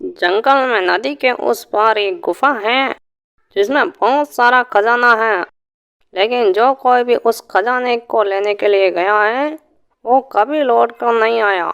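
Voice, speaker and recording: A woman's voice: fairly high pitch, slow, fairly bright tone, smooth, lo-fi sound. A woman playing a village elder in a cartoon fairy tale, giving a grave warning. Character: villager elder warning youth about cursed cave.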